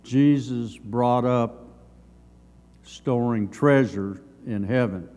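An older man in his seventies giving a Sunday school Bible lesson, reading from notes in measured, halting short phrases. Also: mid-range voice, warm tone, faint music behind. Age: older, around 70s. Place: church sanctuary, at a lectern. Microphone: gooseneck lectern microphone. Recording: long hall reverb.

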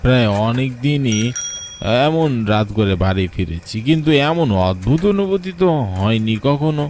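A man's voice: mid-range voice, big pitch swings, moderate pace, background noise, lightly acted. A man playing a weary, frightened village rickshaw puller, telling a cartoon ghost story in an anxious, suspenseful, wondering way. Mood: fearful, tense. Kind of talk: dramatic first-person horror story narration for a cartoon.

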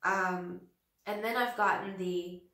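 Slow-talking stuffy-nosed woman in a room. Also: fairly low pitch, fairly even intonation, a bit bright. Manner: earnest, slow and hesitant with many fillers. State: slightly nasal from a cold. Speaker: vlogger. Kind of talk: casual talk to camera. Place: small home office room, indoors. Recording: roomy, slightly distant camera audio.

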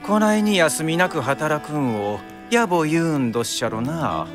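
An actor playing a courteous, wry official with a Kyoto accent in a radio drama. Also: male voice, fairly high pitch, big pitch swings. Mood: polite, wry. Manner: polite, teasing, unhurried. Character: courteous, wry male official in Taisho Tokyo. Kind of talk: Japanese radio drama acting. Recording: clear music behind the voice.

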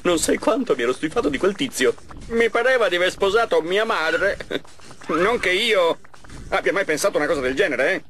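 A vain mad scientist gloats in a high voice that swoops widely. He is theatrical and smug, mocking everyone as a cartoon game villain. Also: expressive, a little fast, a bit bright, slight background noise. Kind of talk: animated game cutscene dub.

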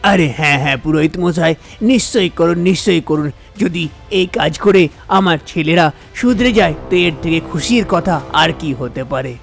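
A weary, worried village father played by a man in a cartoon tale. He complains in a plaintive, folksy way, with a gravelly edge and light music behind him. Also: on the high side, fairly quick. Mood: relieved, eager. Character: worried, weary father of two idle sons. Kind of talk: Bengali cartoon story dialogue.

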